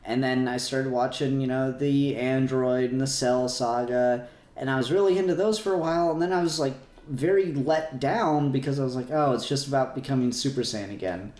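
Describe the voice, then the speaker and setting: A man's voice: mid-range voice, normal speed, a little mellow, roomy sound. A man hosting a casual fan discussion podcast, talking in a rambling way and often correcting himself. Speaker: podcast host.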